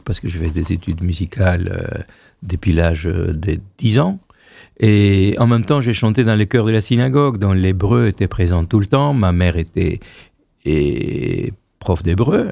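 This is a man in his sixties from Argentina whose first language is Hebrew. He speaks in a gravelly, mellow, fairly low voice and tells his story unhurriedly, restarting phrases as he goes. He is recorded dry and close. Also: no echo. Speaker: choir director, guest. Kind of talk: radio interview.

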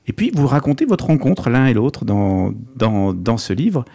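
A man's voice: medium pitch, sing-song, unhurried, mellow. A man hosting an interview, asking his guest questions in a conversational way. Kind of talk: radio interview.

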